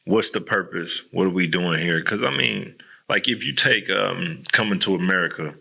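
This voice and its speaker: Deep-voiced, blunt man hosting a podcast. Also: normal speed, gravelly, pushed and bright. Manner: blunt, profanity-laced, slangy. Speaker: podcast host. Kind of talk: casual chat, candid opinion.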